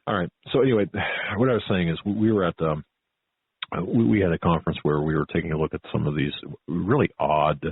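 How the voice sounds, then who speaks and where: A man hosting a conversational radio interview, with a halting, repetitive, folksy and emphatic way of talking. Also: low-pitched, slightly rough. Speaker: talk show host. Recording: phone-like tinny band, roomy echo.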